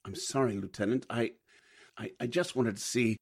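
An actor playing a nervous, flustered, respectable gentleman who stammers, in 1940s radio drama style. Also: mid-range voice, moderate pace, husky. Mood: sheepish, nervous.

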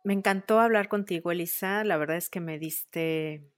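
A woman's voice: on the low side, normal speed, no room sound, clean studio sound. A woman, chatting candidly as an interviewer, agreeable and a little hesitant in how she phrases things. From Mexico or Italy. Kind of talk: casual, candid interview chat.

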